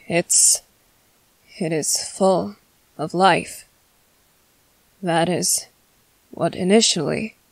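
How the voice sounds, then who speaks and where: Slow, gravelly male vampire commander, played by a woman. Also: on the low side, darkish tone, no room sound, exaggerated voice, low-quality recording. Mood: hesitant, yearning. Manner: formal, halting, measured, apologetic. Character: restrained, courteous male vampire military commander, hungry and smitten. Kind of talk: scripted ASMR roleplay monologue.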